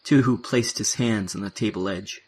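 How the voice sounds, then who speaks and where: A man with a gravelly, husky voice reading scripted third-person narration for an audio drama. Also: fairly low pitch, moderate pace, a little mellow.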